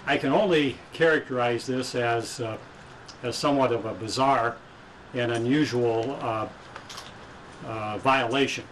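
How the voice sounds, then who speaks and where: A man giving a lawyer's statement at a press conference in a halting, measured way, recorded from a distance with some noise. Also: medium pitch, fairly bright tone. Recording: distant mic, faint noise and ambience.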